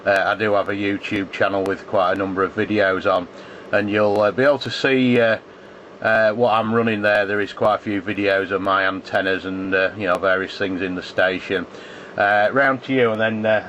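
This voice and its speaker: A man's voice: fairly low pitch, flat delivery, moderate pace, a bit bright. A man chatting casually as an amateur radio operator, giving callsigns in the phonetic alphabet. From England. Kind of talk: casual ham radio contact chat. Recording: muffled shortwave radio contact, faint static.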